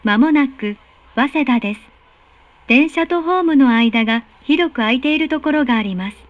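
A woman delivers recorded train announcements in a high, courteous voice. Her phrasing is formal and carefully enunciated. The sound is dry and a little muffled, as captured from a carriage speaker. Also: lively intonation, normal speed, no echo. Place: recorded announcement played inside subway car.

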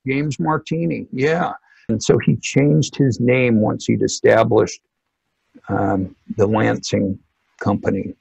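A middle-aged man speaks slowly and haltingly, as an expert guest explaining things informally. His voice is heavily creaky and husky, with frequent pauses. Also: medium pitch, steady tone, darkish tone, no room sound, dull audio. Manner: halting, hesitant delivery with many pauses. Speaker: loudspeaker company technical director, interview guest.

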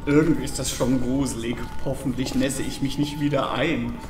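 A man's voice: mid-range voice, steady tone, normal speed, far from the mic, natural voice. Guy acting whiny nervous sci-fi comedy hero, echoey, music behind him. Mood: grumbling, uneasy. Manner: whiny, self-deprecating, jokey. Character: nervous, grumbling reluctant young podcaster turned alien fighter. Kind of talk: amateur sci-fi comedy audio drama acting.

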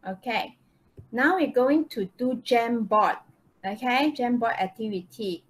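A woman's voice: a little high, unhurried. A woman with a Malaysian accent teaching a live online English lesson, explaining step by step and checking understanding. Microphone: video call microphone. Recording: noisy online video call.

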